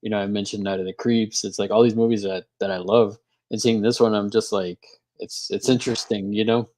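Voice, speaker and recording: A man's voice: lowish voice, little pitch movement, brisk, a little mellow, a little hiss or hum. A man from Texas, a guest on a film commentary, talking hesitantly with many fillers and restarts. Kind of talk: casual film commentary chat.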